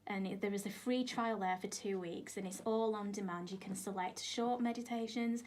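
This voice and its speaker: A woman's voice: mid-range voice, fairly even intonation, normal speed, slight background noise. Earnest woman yoga teacher on radio. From England. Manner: earnest, hesitant restarts, soft trailing asides. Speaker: yoga nidra teacher and life coach. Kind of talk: radio interview guest.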